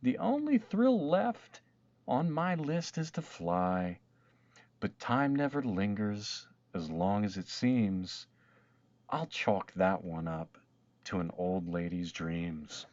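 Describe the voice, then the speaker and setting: A man's voice: lowish voice, expressive, slow, a little mellow, dry recording, dull audio. A man voicing a spirited old great-great-aunt in a storybook, wistful at heart and encouraging. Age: old woman. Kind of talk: storybook read-aloud character voice.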